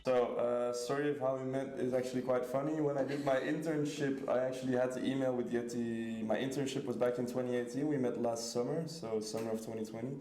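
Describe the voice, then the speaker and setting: A man's voice: mid-range voice, steady tone, a little fast, few pauses, dark tone, faint music behind. A man from Belgium, a DJ chatting casually in an interview. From Antwerp, Belgium. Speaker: DJ and label founder being interviewed. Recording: echoey room with faint background noise.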